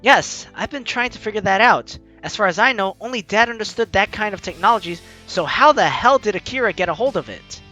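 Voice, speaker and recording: A man's voice: high voice, lively intonation, brisk, hardly any pauses, bright, forceful tone, soft background music. A young man hosting a game playthrough, reading the story and chatting between lines. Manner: chatty, reads lines with asides. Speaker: gameplay host reading the story aloud. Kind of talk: let's play narration and commentary.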